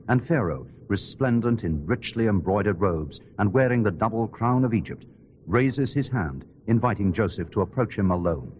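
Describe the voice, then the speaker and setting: A man playing a radio reporter who gives solemn, measured, descriptive live commentary on a royal ceremony. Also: fairly low pitch, a little mellow, low-quality recording. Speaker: outside-broadcast commentator. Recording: clear background noise and ambience.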